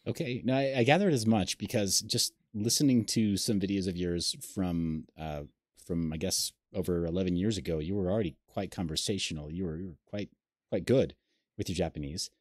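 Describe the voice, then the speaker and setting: 30s guy hosting over video call, lowish voice, dry sound, keeps restarting his questions. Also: brisk, no room sound. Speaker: host. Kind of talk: casual video call interview. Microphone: webcam on a video call.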